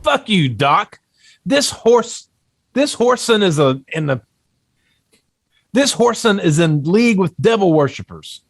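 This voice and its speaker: A highish, animated male voice from an actor playing a hot-headed young cowboy. He is aggressive and accusatory, in a dry-sounding western table read. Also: unhurried, long pauses, no echo, full character voice. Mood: furious.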